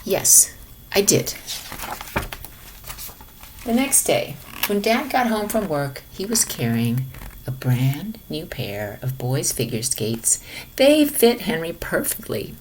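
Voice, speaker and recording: Slow, animated woman reading a storybook. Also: sits low, a little hiss or hum, low-quality recording. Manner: animated, unhurried, dropping to hushed tones. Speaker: storybook reader for a children's lesson. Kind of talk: expressive picture-book read-aloud.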